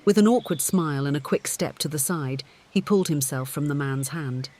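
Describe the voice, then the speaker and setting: A man's voice: on the high side, moderate pace, darkish tone. A man reading scripted audiobook fiction as its narrator.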